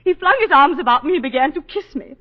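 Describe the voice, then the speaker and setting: Casting: distraught colonial planter's wife, high-pitched, theatrical and halting, old radio play with long reverb. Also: female voice, expressive. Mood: agitated. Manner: halting, emotive, theatrical storytelling. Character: distraught planter's wife recounting a killing, self-possessed and indignant. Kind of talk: 1940s radio drama acting. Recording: dull 1940s radio broadcast, long reverb.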